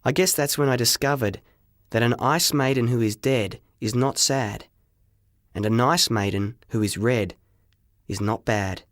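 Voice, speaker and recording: A man narrating a story in the first person as an audiobook reader, with expressive, dramatic, deliberate phrasing. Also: mid-range voice, no echo, studio quality.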